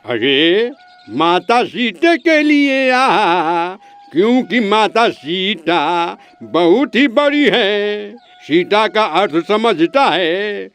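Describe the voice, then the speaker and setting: A man playing a pious, exasperated village priest in a comic cartoon story, grumbling and explaining in drawn-out phrases. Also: sits high, a bit bright, smooth, full character voice.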